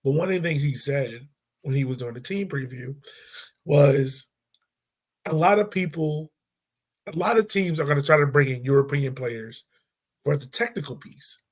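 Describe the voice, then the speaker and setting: Chatty podcast host, slightly high voice. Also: male voice, normal speed, long pauses. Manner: relaxed, chatty, laughs often, fillers like "like" and "yo". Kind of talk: casual sports chat.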